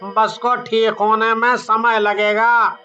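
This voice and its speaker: Man playing high, sharp-voiced bus driver. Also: little pitch movement, slow, muffled recording, exaggerated voice. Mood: insistent. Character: harried male bus driver, pleading for patience.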